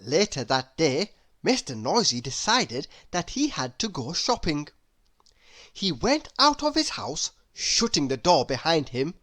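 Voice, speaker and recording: A storybook narrator reading a children's tale aloud in an animated, expressive way. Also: mid-range voice, a little slow. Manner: animated, expressive, with emphatic sound words. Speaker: storybook reader.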